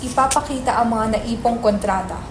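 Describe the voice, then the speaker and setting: Babaeng tagabasa ng iskrip, mataas ang boses. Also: female voice, a little high, normal speed, noisy background. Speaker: stage-directions narrator. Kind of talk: scripted narration in a dramatic reading.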